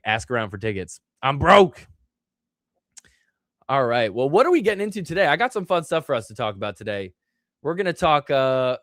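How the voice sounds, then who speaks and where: A man's voice: medium pitch, fairly bright tone, no echo, clean studio sound. A man hosting a casual live stream, rambling with lots of fillers, reading out the chat, his voice still recovering from being lost. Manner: rambling, filler-heavy, reads chat aloud. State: recovering from illness and voice loss. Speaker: host of a live stream show.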